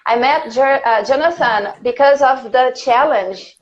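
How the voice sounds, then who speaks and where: High-voiced Brazilian woman, curious host. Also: a little slow, dull audio, slight background noise. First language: Portuguese. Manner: chatty, curious, asks many questions. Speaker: conversation class host. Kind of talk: casual video call conversation. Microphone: video call.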